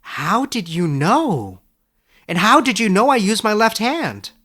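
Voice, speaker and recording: A man voicing an earnest, excitable young former student in a storybook, eager and quick to defend himself. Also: high-pitched, voice pushed up, full character voice. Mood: surprised. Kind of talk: storybook character voice in audiobook narration.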